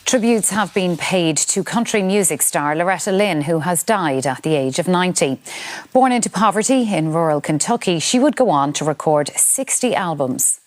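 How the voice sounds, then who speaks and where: A female newsreader delivers a scripted report. Her voice is slightly low, and the recording is dry and free of room sound. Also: animated, normal speed, no echo. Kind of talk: scripted news reading.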